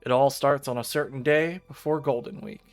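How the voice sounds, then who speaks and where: An aloof teenage schoolgirl read by a man in his mid-pitched voice narrates a visual novel over faint music. The delivery is measured and resigned, that of a fatalistic outsider telling a story. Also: natural voice. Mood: detached.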